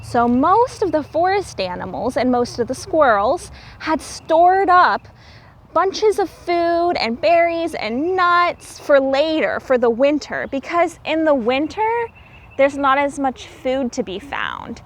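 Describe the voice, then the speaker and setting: Slow, high-voiced woman reading to children. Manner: expressive, simplifies and explains for small children. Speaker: children's teacher and storyteller. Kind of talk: read-aloud storytelling for young children with explanations.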